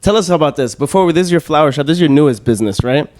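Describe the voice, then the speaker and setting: A man's voice: on the high side, expressive, rapid-fire, darkish tone. A man co-hosting a show, chatting casually in informal, slangy language. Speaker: co-host. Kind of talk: casual chat.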